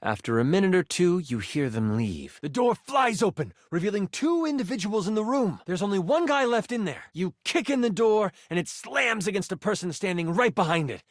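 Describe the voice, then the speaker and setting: Game narrator: theatrical fantasy game master, very expressive range, dramatic suspense, dry studio sound. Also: male voice, high voice, moderate pace, hardly any pauses, dry recording. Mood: suspenseful. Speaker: voice actor.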